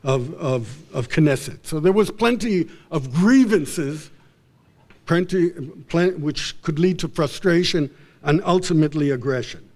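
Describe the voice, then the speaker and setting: Halting, higher-pitched male lecturer. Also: animated, a little mellow. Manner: halting, often repeats and restarts words and phrases. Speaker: professor, lecturer. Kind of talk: academic lecture, unscripted.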